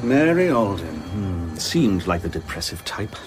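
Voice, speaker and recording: An actor playing a dry, sardonic male police inspector in a radio play, husky, wry and sceptical. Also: deep voice, sing-song, measured pace, loud music behind the voice. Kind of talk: dramatic radio play acting.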